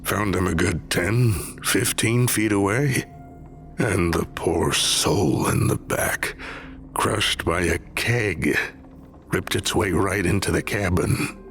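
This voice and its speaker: Gruff older man, very low gravelly voice, slow and mournful, audio drama with music underneath. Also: fairly bright tone, heavily acted. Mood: somber, haunted. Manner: slow, somber, deliberate. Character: grieving older man, gruff, weary haunted-house owner. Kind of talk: scripted audio drama acting.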